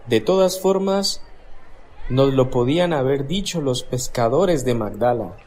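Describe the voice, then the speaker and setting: A man's voice: mid-range voice, expressive, unhurried, a little mellow, slight background noise, close to an everyday voice. An actor playing an eager man from a crowd looking for the Master, speaking in a pleading way in a radio drama. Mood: explaining.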